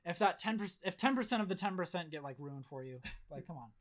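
A hoarse man with a slightly high voice hosts a casual gaming podcast. He rambles and swears freely, and his talk is full of fillers and restarts. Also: animated, quick pace, crowd noise. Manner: rambling, filler-heavy, blunt and profane. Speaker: podcast host. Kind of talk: casual chat.

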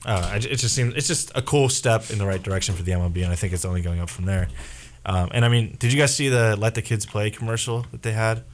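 A man with a fairly low voice leads a relaxed sports conversation, wandering from point to point and often trailing off into filler words before finding his thought again. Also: fast. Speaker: podcast host. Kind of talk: casual sports chat.

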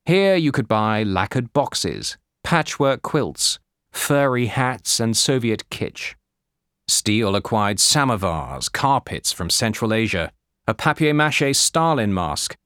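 A man reading scripted non-fiction as an audiobook narrator, in a measured, deliberate way. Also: on the low side, fairly bright tone, dry recording, studio quality. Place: studio.

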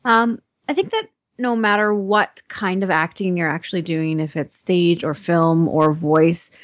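A woman's voice: on the low side, no echo, clean studio sound. A woman actor answering interview questions thoughtfully about her stage training.

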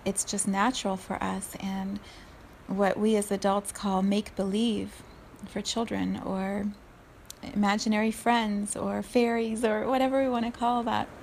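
A woman in her forties who grew up in South Africa, speaking in an unhurried, thoughtful solo talk and laughing softly at herself now and then. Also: medium pitch, flat delivery, creaky, a little hiss or hum. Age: 40s.

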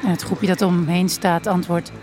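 Low-voiced actress as a grieving daughter, measured. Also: female voice, level tone, music in the background, natural voice. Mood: troubled, intimate. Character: grieving daughter narrating her dying mother's last days. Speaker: narrator actor. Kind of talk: literary first-person audio drama narration.

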